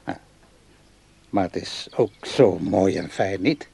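A man's voice: low voice, expressive, slow, vocal fry, dark tone, breathy edge, no room sound, full character voice. An actor in a radio play playing a simple, doting, earnest man who is easily worked up and insistent.

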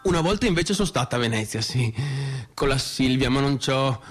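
An actor playing a cocky, rambling son of a provincial businessman in a radio theatre monologue, with a colloquial northeastern Italian accent and a repetitive, excitable delivery. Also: mid-range voice, fairly quick, faint music behind, natural voice. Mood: boastful, casual. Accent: northeastern Italian (Veneto). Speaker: stage actor performing a monologue.

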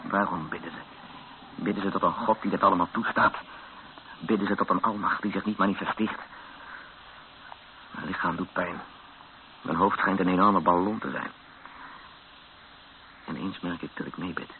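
An actor playing a weary, frightened captive who narrates a radio drama in brooding short sentences. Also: male voice, deep voice, steady tone, moderate pace, long pauses, natural voice. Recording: muffled, noisy old radio recording.

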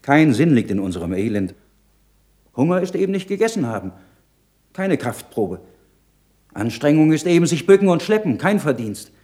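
An actor playing an earnest, troubled learned monk in a radio play, speaking in a measured, solemn, rhetorical way. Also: male voice, fairly high pitch, very animated, dark tone. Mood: bitter, impassioned. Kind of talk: German radio play acting.

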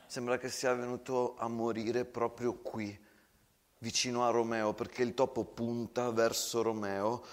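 A man's voice: mid-range voice, little pitch movement, unhurried. A man narrating a war story as a theatrical monologue. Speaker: stage storyteller, actor. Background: acoustic guitar.

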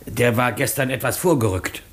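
Actor as a deferential household servant, mid-pitched. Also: male voice, moderate pace, fairly bright tone, natural voice. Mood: dutiful, assured. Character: dutiful male household servant, respectful and certain. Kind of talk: 1950s German radio play acting.

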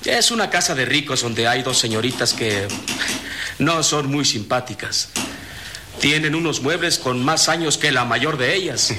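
A forceful, cautious workshop boss. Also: male voice, mid-range voice, animated, unhurried. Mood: grumbling, worried. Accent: Mexican. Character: cautious middle-aged master cabinetmaker, hesitant boss. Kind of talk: radio drama acting. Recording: noisy old radio drama recording.

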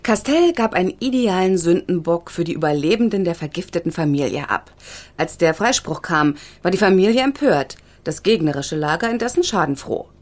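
A woman's voice: on the low side, lively intonation, darkish tone. A woman playing an inquisitive, opinionated newspaper journalist in a radio crime drama, teasing and argumentative. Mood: speculative, keen. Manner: argumentative, speculating briskly.

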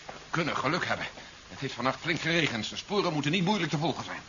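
Lively, watchful tracker. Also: male voice, on the high side, fast. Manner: animated, watchful. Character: cautious, watchful man tracking a quarry. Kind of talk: 1970s radio drama acting. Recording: dull, noisy 1970s radio recording.

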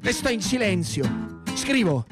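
An actor with a central Italian, Roman accent playing a haunted, fervent ex-partisan in a radio theatre monologue, telling it colloquially and with insistent repetition. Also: male voice, high voice, very animated, unhurried. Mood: fervent, agitated. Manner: dramatic storytelling with colloquial dialect and insistent repetition. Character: Italian ex-partisan man recounting war memories and dreams, haunted, fervent. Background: plucked guitar music.

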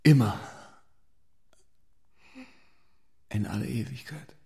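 An actor playing an anxious, easily wounded lover of a queen in a German radio play, with an agitated, halting, emotional delivery. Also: mid-range voice, gravelly, darkish tone, husky. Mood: tender.

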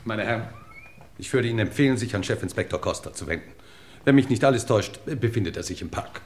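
A man's voice: on the low side, lively intonation, quick pace, a little mellow, lightly acted. A man playing a stern, haughty lord in a radio crime play, commanding and disdainful. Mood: stern, scornful. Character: stern, haughty English lord scolding his staff. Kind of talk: old German radio play acting.

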